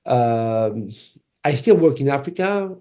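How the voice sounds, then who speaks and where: A man with a French accent, a native French speaker from Cameroon, talking casually as a podcast guest and telling things in an animated way. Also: mid-range voice, slow. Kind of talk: casual conversational interview.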